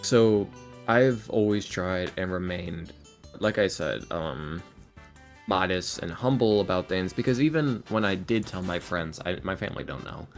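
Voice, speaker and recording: A man's voice: low-pitched, a little slow, gravelly. A man talking casually as a podcast guest, earnest and self-deprecating in a rambling way. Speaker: podcast guest, ASMR roleplay creator and voice actor. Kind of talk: casual podcast interview talk. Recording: music playing under the talk.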